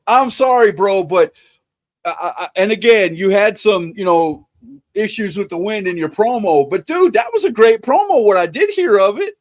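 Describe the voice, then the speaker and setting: A man's voice: high-pitched, lively intonation, normal speed. A man hosting a casual online interview, reading out viewer questions, enthusiastic and repeating himself. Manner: enthusiastic, repeats words and restarts.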